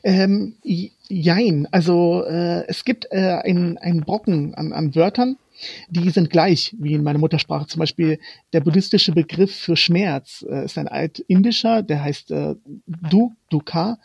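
A young man from Germany whose first language is Romani, a guest in an interview, talking in halting bursts as he hunts for words. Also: fairly high pitch, creaky, a little mellow, breathy edge, no room sound, highs cut off. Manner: halting, pausing often to find words. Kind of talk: interview conversation.

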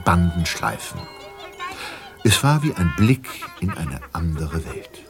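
Male narrator, lowish voice, storybook reading, scripted, music underneath. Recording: music behind the voice.

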